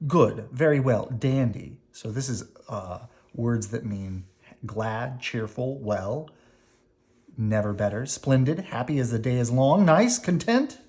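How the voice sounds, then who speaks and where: A person reading a children's picture book aloud expressively, with dramatic emphasis, pauses and brief explanatory asides. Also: mid-range voice, a little mellow. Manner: dramatic emphasis, pausing between phrases.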